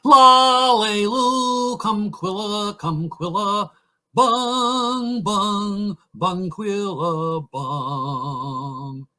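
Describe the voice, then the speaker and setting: A man's voice: high-pitched, very slow, bright, forceful tone, soft background music, pitched above the performer's own voice, exaggerated voice. A man voicing a silly goose that sings a nonsense song with its mouth closed, sounding muffled. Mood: silly singsong.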